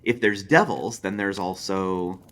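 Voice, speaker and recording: A man's voice: low-pitched, a little slow, fairly bright tone. A man voicing an eager, professorial book club leader who coaxes debate in a read-aloud story.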